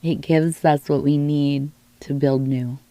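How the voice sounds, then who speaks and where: A woman with a hoarse, worn voice from illness gives a soft-spoken tea leaf reading, musing and reassuring as she speaks straight to the listener. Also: deep voice, little pitch movement, slow pace, no echo. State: hoarse, losing her voice from illness.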